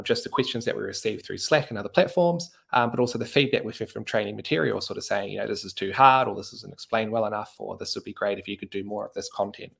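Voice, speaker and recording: A man's voice: medium pitch, gravelly. A man hosting a podcast discussion, talking casually with many fillers and hedges. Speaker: podcast host.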